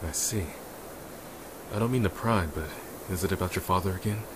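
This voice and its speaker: A man's voice: deep voice, brisk, background noise, close to an everyday voice. A man playing a loyal, encouraging soldier in a fantasy audio drama, speaking earnestly and reassuringly. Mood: calm, concerned. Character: loyal, encouraging male soldier comrade of a werewolf army.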